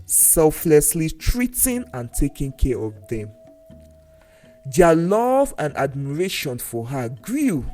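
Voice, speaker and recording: A storyteller narrating a scripted folktale in a deliberate, dramatic way, with pauses between phrases. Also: mid-range voice, warm tone. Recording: voice over faint music. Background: faint background music.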